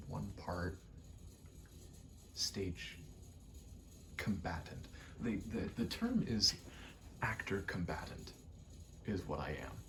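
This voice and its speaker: A man rambling casually in a soft ASMR style over video game play, often slipping into a whisper and thinking aloud in short, halting pieces. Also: on the low side, a little hiss or hum. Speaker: ASMR creator, gamer; actor and stage combatant.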